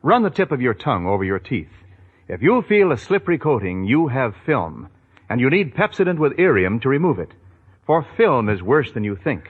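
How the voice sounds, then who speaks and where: A man's voice: mid-range voice, very animated, normal speed, husky. A man reading a 1940s radio advertisement as an announcer, with an emphatic, reassuring sales delivery. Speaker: commercial announcer. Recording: tinny band-limited old radio recording.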